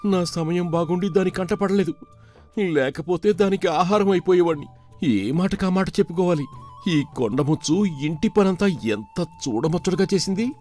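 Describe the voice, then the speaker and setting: A man voicing an excitable, superstitious village manservant with exclamatory rustic speech in a folk tale. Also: sits high, moderate pace, faint music behind, lightly acted. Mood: relieved awe. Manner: exclamatory, colloquial rustic phrasing.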